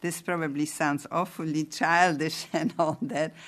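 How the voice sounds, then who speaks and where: A woman's voice: low voice, a little slow. Older woman, scratchy from a cold. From Poland. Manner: reflective, animated when recalling youth. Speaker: interviewee. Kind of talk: oral history recollection.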